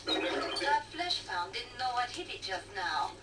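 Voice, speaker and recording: A woman's voice: fairly high pitch, sing-song, takes it slowly, over music. An actress playing a poised, teasing female arms trader in a video game. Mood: brisk, encouraging. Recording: distant, echoey, noisy television speaker capture.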